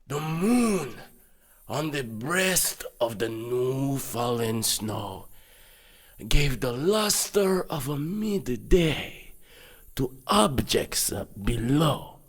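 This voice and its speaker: A man narrating a storybook tale in a theatrical, dramatic and expressive way. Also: medium pitch, husky. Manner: dramatic, expressive, drawn-out phrasing. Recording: music behind. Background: background music.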